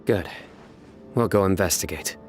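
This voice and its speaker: This is a stern investigator from a video game. His voice is low and deadpan, with a serious, curt delivery over some background music. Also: measured pace. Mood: calm, resolute.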